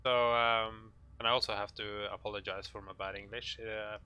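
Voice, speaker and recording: A man with a Norwegian accent giving an informal game tutorial in English, speaking hesitantly in halting phrases. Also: lowish voice, flat delivery, sharp tone, lo-fi sound, a little hiss or hum. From Norway. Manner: hesitant, halting delivery with pauses. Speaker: video tutorial narrator.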